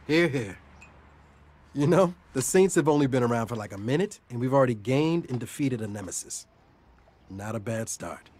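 Video game gang buddy played by a man: young, upbeat, relaxed attitude, mid-range voice with lively swings. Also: medium pitch, normal speed, natural voice. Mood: pleased, wry. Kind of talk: video game cutscene acting.

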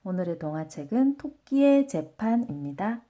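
A woman's voice: mid-range voice, very animated, unhurried, mellow. A woman narrating a children's storybook as bedtime reading. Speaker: storybook reader.

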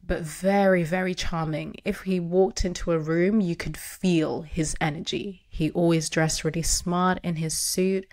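A young woman from Zimbabwe, a guest in an interview, telling her family story in a warm, conversational way with easy laughter. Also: on the low side, steady tone, measured pace, hardly any pauses.

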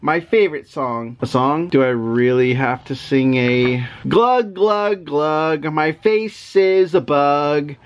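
An exasperated reader stuck with a silly children's storybook, acted out by a man. The delivery is playful and reluctant, full of mock protest, with words slowly stretched out for effect. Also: on the high side, expressive, clear, resonant tone, pitched below the performer's own voice. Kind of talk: animated children's storybook reading.

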